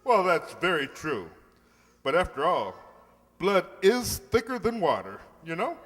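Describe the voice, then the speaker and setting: A man performs a blustering, stubborn old patriarch in a radio comedy. His voice is high for a man, forceful and swooping, and it comes from off in an echoey hall. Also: sing-song, measured pace, exaggerated voice. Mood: self-satisfied, formal. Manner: domineering, emphatic, cutting others off. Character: blustering, stubborn wealthy old father, eager for grandson. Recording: distant, echoey hall stage recording.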